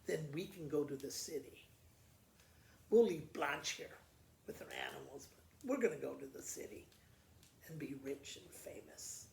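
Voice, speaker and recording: A man voicing a greedy, scheming storybook mother in a hushed, conspiratorial way. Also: a little high, animated, a little slow, creaky, roomy sound, a little hiss or hum, voice pushed down, full character voice. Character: scheming greedy mother whispering plans to her daughter.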